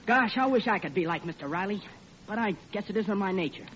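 A nervous young groom, swooping voice. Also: male voice, high-pitched, lively intonation, a little fast, fairly bright tone. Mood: nervous, bashful. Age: young adult. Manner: hesitant, bashful. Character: nervous, naive young groom-to-be, polite aircraft worker. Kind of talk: 1940s radio comedy acting. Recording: muffled noisy old radio recording.

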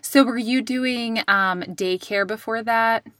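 Woman podcast host, bright crisp-edged voice, really dry sound, chatty interview style. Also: fairly high pitch, slow, no room sound. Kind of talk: podcast intro and conversational interview.

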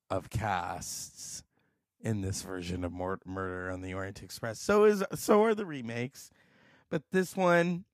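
Chatty guy hosting a movie show, slow easy pace, dry mic. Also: mid-range voice, gravelly, a little mellow, no echo. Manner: chatty, enthusiastic about films. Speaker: film show host. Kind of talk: casual film commentary.

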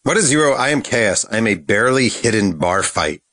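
Male host, playful riffing, low-leaning voice, dry sound. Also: sing-song, brisk, fairly bright tone, no room sound. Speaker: podcast host. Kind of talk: casual interview chat.

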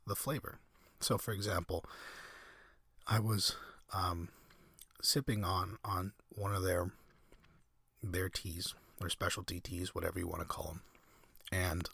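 Low husky-voiced man rambling softly. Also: dry recording, studio quality. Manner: meandering, hesitant, frequent restarts and fillers. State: recovering from a cold, slightly raspy. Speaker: podcast host. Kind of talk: soft-spoken ASMR ramble.

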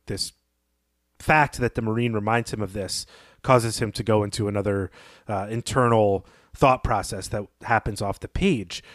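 A man's voice: lowish voice, no echo, studio quality. Reflective man discussing a story. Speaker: podcast co-host.

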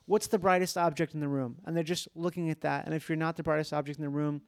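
A man with a slightly high voice talks casually, as a podcast host does in conversation. His manner is informal and reflective, with some swearing, and the sound is very dry. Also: steady tone, quick pace, dry recording. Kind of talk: casual chat.

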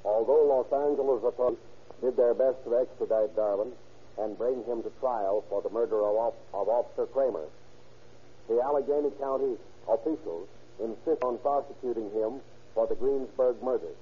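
A man narrating and announcing in formal, declamatory 1930s radio style, with a rough, grainy voice. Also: medium pitch, moderate pace. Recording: muffled vintage 1930s radio recording.

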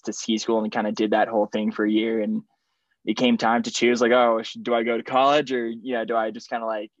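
Young man, native French speaker, low flat voice, dry dull lo-fi sound, rambling casual chat. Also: brisk, no room sound. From Switzerland. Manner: relaxed, rambling with many fillers. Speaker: podcast guest. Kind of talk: casual conversation.

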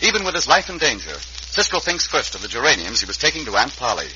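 A man's voice: mid-range voice, sing-song, quick pace, loud music behind the voice. A man narrating as an old-time radio announcer, in an emphatic, theatrical, scripted style. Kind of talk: dramatic 1950s radio announcing. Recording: dull vintage radio recording.

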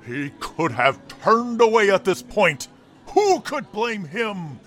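Showman bard telling hero tales in a fantasy audio drama, slow and dramatic, music behind. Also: high voice, very animated, a bit bright, full character voice. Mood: rousing. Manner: grandiose, suspenseful, with drawn-out pauses. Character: theatrical tavern storyteller, a showman spinning heroic tales. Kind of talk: dramatic fantasy storytelling to a crowd.

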